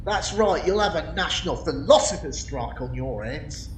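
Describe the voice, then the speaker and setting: An amateur actor playing a belligerent, streetwise philosopher who argues like a shop steward in a radio comedy. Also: male voice, a little high, animated, moderate pace, close to an everyday voice. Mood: truculent. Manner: argumentative, truculent. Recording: echoey, distant, faint noise.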